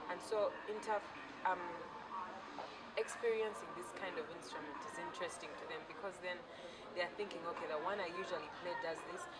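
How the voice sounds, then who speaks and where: A Ugandan woman whose first language is Luganda, an eco artist thoughtfully explaining her work, pausing and restarting phrases. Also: fairly low pitch. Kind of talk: casual explanation to camera. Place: outdoors in a city. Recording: distant mic, loud traffic noise outdoors.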